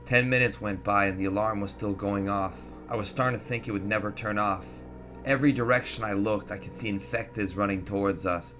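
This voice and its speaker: A man's voice: fairly low pitch, steady tone, a little fast, a bit bright, faint music behind. A man reading a first-person horror story in a tense, dramatic, scripted narration style.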